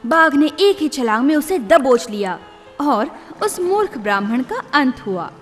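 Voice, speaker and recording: A woman storyteller reading scripted children's tales in a deliberate, expressive manner. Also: high voice. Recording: long reverb, music behind.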